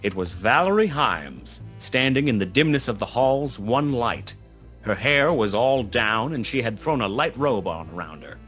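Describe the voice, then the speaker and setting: An actor playing a world-weary, wry, tough private detective who narrates a 1920s hard-boiled radio play in a clipped, dramatic manner. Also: male voice, medium pitch, sing-song, a little slow, fairly bright tone, faint music behind. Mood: tense.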